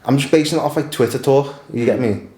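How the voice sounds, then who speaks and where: A man's voice: mid-range voice, fast, warm tone. A British man in a casual group discussion, blunt and slangy, speaking dismissively. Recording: roomy echo.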